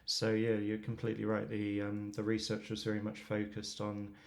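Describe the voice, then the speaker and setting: A man speaking as a researcher guest on a remote interview, answering in a measured, explanatory way with frequent ums. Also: lowish voice, completely flat delivery, vocal fry.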